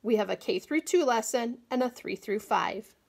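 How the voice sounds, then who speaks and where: A woman educator giving a clear, patient, step-by-step craft demonstration at a table indoors. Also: fairly high pitch, lively intonation, moderate pace, a little mellow. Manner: clear, patient instructional delivery. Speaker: agriculture educator. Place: small indoor room, table-top demo.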